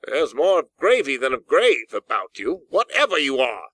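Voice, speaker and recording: A man voicing a cold, sharp-tongued old miser shaken by dread, excitable and pleading, in a dramatised storybook reading. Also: medium pitch, moderate pace, no room sound, voice pushed up. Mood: defiant, flustered.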